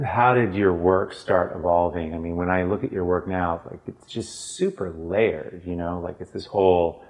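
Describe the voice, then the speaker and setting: A man's voice: low voice, moderate pace, darkish tone. A man interviewing in a casual, encouraging way, often chiming in to agree. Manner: encouraging, frequent affirming interjections. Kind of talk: casual chat.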